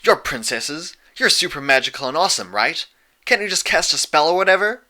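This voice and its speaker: Brash, cocky pegasus girl, done by a man, forceful. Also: on the high side, sing-song, fairly quick, voice pushed up, heavily acted. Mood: challenging. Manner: blunt, challenging, slangy. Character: brash, impatient, cocky young female pegasus pony.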